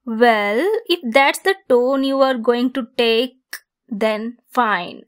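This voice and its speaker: A woman playing a possessive centaur captor, bossy yet caring, in an ASMR roleplay monologue with a deliberate, teasing delivery. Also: on the high side, steady tone, smooth. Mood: smug, coaxing.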